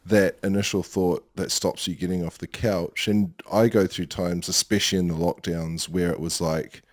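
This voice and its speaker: A man hosts a podcast in a deep voice, working through long questions with thoughtful pauses. He speaks in a measured, reflective way. The recording is dry and close. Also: little pitch movement, dry recording. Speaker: podcast host. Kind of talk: interview questions.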